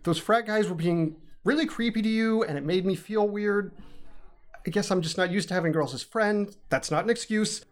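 A man's voice: high-pitched, normal speed, lightly acted. Man acting an earnest, awkward young guy. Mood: remorseful. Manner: earnest, self-deprecating. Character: awkward, apologetic young male college dropout. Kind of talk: naturalistic modern audio drama acting.

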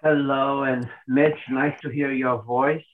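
A man, calling in and talking thoughtfully with pauses and restarts. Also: mid-range voice, steady tone. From Portugal. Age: 60s. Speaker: caller. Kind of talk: casual call-in chat. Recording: tinny phone line.

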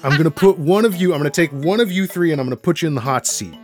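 A man's voice: a little high, animated, racing, soft background music. A man hosting a casual podcast, explaining the rules of a game in a joking way. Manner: jokey, explains rules with restarts. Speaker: podcast host running a game.

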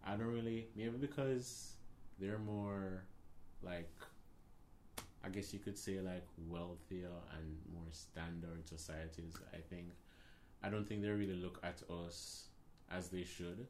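A man from Jamaica with a Jamaican accent, co-hosting a casual chat video, talking thoughtfully with hesitant pauses. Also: low-pitched, fairly even intonation, a little mellow, slight background noise. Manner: thoughtful, hesitant, many "like" fillers. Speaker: video co-host.

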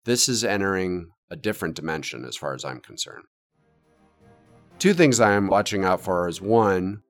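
Pria bersuara sangat rendah, tenang menjelaskan. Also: male voice, lowish voice. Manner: measured, explanatory, lists takeaways. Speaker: podcast host. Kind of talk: economics interview and wrap-up.

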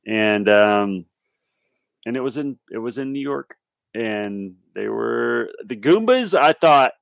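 A man's voice: medium pitch, lively intonation, slow, vocal fry, dry recording. Male podcast guest, forties, casual anecdotal chat, dry room-free sound. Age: 40s. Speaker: podcast guest playing a guessing game.

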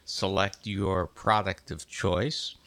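Male podcast host, lowish voice, scripted announcement style. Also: little pitch movement, slow pace, a bit bright.

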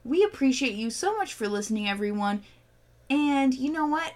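A woman's voice: high-pitched, animated, normal speed, darkish tone. A young woman co-hosting a casual beer-tasting podcast, joking and swearing freely as she rates beers. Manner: swears freely, loose and playful. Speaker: podcast co-host.

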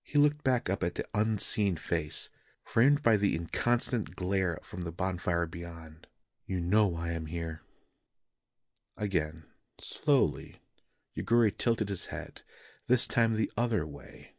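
A man's voice: deep voice, animated, unhurried, no echo, studio quality. A man reading a scripted fantasy story as a dramatic narrator.

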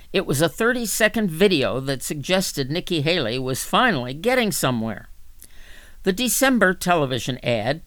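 A woman reading magazine articles aloud as scripted narration, in a measured, clearly phrased way. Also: low voice, a bit bright, dry recording. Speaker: volunteer reader for an audio reading service.

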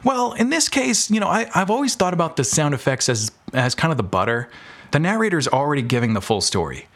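A man's voice: a little high, sing-song, a little fast, fairly bright tone. Man explaining his craft in casual conversation. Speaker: podcast guest, audio drama maker and filmmaker. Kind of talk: casual interview chat.

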